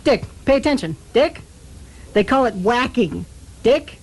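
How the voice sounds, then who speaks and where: A woman's voice: on the high side, animated, unhurried, breathy edge, heavily acted. A woman playing an earnest, secretive anonymous tipster in a comedic radio drama, solemn and self-serious. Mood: secretive, urgent.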